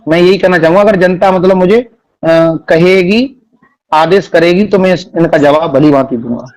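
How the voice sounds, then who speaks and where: A man gives interview answers on a call in a fairly high voice. He phrases things formally and with measure, using learned religious vocabulary. The recording is close and dry. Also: a little mellow, no room sound, poor audio. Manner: formal, measured, Sanskritised wording. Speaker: religious singer-storyteller interviewed by phone.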